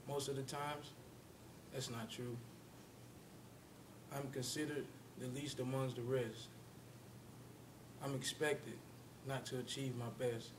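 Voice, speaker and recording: A man reciting his own spoken-word poem in a measured, solemn, deliberate way. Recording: rumbling background noise.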